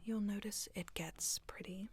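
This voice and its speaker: A woman whispers softly and breathily, as if attending to someone at a sleep clinic. Her manner is unhurried, soothing and reassuring. It is an intimate ASMR roleplay delivery, kept almost entirely unvoiced. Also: mid-range voice, fairly even intonation. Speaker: ASMR performer playing a sleep clinic technician.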